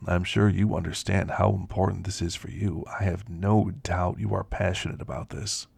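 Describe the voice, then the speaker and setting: A man playing an elderly, ailing werewolf in an ASMR roleplay, gentle and reflective, reminiscing in a soft, deliberate, gravelly voice. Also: sits low, level tone, no room sound, full character voice. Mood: earnest, hopeful. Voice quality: gravelly, husky.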